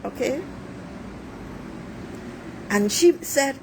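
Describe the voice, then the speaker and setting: Trinidadian woman, middle-aged, blunt and preachy, slow unhurried delivery, clear traffic noise behind. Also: high voice, lively intonation, darkish tone, rough recording. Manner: blunt, preachy, swears freely. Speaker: life coach livestreaming. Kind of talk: casual monologue to viewers. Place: outdoors near traffic. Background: passing cars, a siren.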